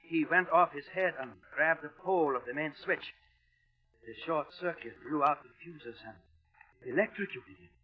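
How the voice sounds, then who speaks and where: An actor playing a nervous, deferential submarine lieutenant in a 1950s radio drama. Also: male voice, a little high, moderate pace, soft background music, lightly acted. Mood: uneasy. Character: anxious, dutiful German submarine lieutenant. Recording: dull old radio recording.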